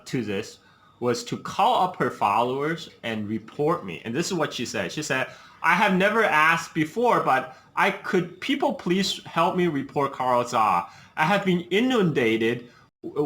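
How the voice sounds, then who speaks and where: A man's voice: on the high side, sharp tone. A man who was born in China and raised in the United States, hosting a podcast and talking casually and earnestly, often repeating words as he starts a sentence. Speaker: podcast host. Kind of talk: casual conversational commentary.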